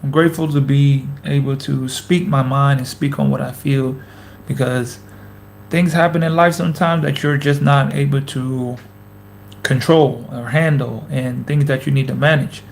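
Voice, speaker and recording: A man's voice: medium pitch, fairly even intonation, normal speed, creaky, a little hiss or hum. A man in his thirties hosting a casual motivational vlog into a camera mic, talking emphatically with lots of repetition and slang. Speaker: host, former pro basketball player.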